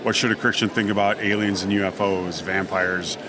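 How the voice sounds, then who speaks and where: A middle-aged man answering interview questions casually, in halting, thoughtful phrases, at a noisy convention. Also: sits low, little pitch movement. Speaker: podcast guest, audio drama writer and director. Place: convention booth, live recording. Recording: noisy convention crowd background. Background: crowd ambience.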